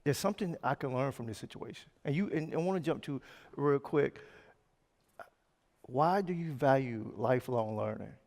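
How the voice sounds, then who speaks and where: A man with a gravelly edge to his mid-range voice, interviewing a guest at an on-stage fireside chat. He speaks earnestly, restarts words and sometimes rushes. A long hall reverb trails his words. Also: medium pitch, animated, a little mellow. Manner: earnest, halting, repeats words, quick bursts. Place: conference stage in a large hall.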